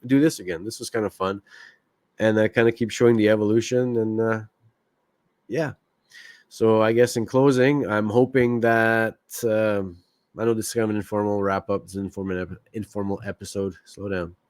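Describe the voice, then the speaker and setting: A man's voice: lowish voice, fairly even intonation, normal speed, a little mellow, no room sound. A man from Quebec hosting a podcast, talking candidly and rambling with fillers. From Quebec, Canada. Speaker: podcast host. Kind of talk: casual solo podcast talk.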